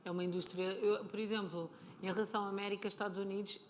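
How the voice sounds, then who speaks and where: A woman's voice: mid-range voice, level tone, fast. A woman with a European Portuguese accent, speaking casually and explaining things as a guest in a live stage conversation. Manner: chatty, explanatory, informal. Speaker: interview guest, film and television producer. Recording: clear ambient noise of busy convention.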